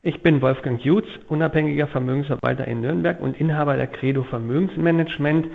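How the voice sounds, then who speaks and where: A man's voice: a little high, steady tone, quick pace, gravelly, phone-quality sound. A man, an asset manager and show host, introducing himself in a plain, casual way. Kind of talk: self-introduction.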